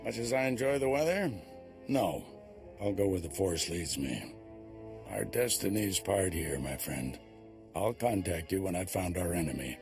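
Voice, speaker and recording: Jedi ally, very deep, gravelly, commanding, dry humor, video game dialogue, music behind. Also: male voice, animated, a little slow, darkish tone. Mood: resolute. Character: gruff, decisive male Jedi ally, dryly humorous. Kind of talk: video game cutscene acting.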